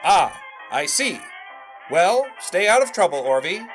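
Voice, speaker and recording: A man's voice: sits high, big pitch swings, unhurried, fairly bright tone, faint music behind, full character voice. An actor playing a pompous young radio salesman and announcer in a 1920s audio drama, full of showy old-fashioned patter. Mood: boastful.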